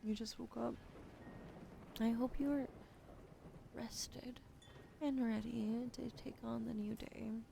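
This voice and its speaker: Sleepy young woman doing a chill asmr stream, super slow, lots of vocal fry, kinda whispery. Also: fairly high pitch, flat delivery, slight background noise. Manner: drowsy, soft, whispering at times.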